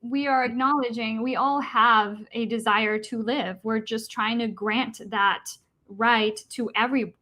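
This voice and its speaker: A woman from Costa Rica speaks in a fairly high voice as a panelist in an online debate. She explains her points earnestly, with frequent fillers and restarts along the way. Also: fairly even intonation, unhurried, pushed and bright. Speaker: vegan debater.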